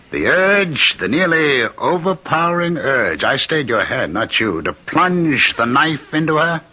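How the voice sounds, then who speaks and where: An actor playing a menacing condemned killer in a radio drama, with a rough, taunting, theatrical delivery. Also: male voice, fairly high pitch, big pitch swings, takes it slowly, bright, forceful tone, heavily acted. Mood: taunting, gloating. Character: menacing condemned killer claiming to be Jack the Ripper. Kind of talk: old-time radio drama acting.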